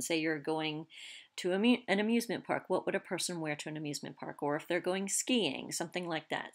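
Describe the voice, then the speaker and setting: A woman casually walking through a set of printable car games for kids, reading long item lists one by one with frequent fillers. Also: lowish voice. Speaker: homeschool blogger presenting printables. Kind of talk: casual walkthrough voice-over.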